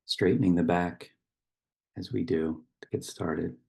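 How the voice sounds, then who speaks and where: A man speaking as a meditation guide and poetry reader, in slow, measured phrases with long pauses and a husky, gravelly voice. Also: sits low, fairly even intonation, mellow.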